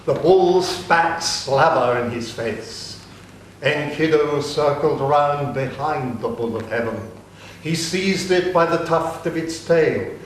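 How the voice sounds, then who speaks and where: A man narrating an ancient epic in a dramatic reading, slow and solemn. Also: on the high side, a little hiss or hum. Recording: echoey room, distant mic.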